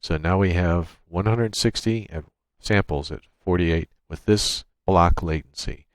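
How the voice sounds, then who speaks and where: A man narrating an unscripted software tutorial, explaining each step in a matter-of-fact way. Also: sits low, measured pace, no room sound. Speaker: tutorial presenter. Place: indoors at a computer, screen-recording voice-over.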